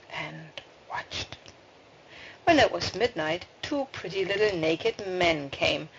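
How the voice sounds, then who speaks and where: A woman reading a fairy tale aloud as a storybook narrator, with expressive delivery. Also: fairly low pitch. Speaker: storybook reader. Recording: dull, noisy home recording with clicks.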